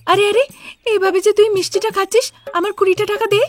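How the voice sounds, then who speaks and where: Woman voicing a high-pitched stingy young sweet seller. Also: steady tone, fast. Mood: indignant scolding. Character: stingy, scolding young daughter-in-law who sells sweets. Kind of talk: cartoon story character acting. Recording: music behind the voice.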